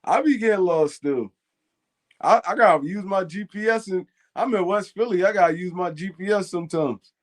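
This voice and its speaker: A man's voice: high-pitched, moderate pace. A man, co-hosting a fan call-in show in casual, slangy chat and laughing often. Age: 20s.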